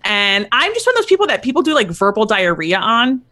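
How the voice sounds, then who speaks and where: A woman's voice: on the high side, big pitch swings, a little fast, pushed and bright. A woman in her thirties, a matchmaker on a podcast, chatting casually and explaining with stories. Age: 30s. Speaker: matchmaker guest.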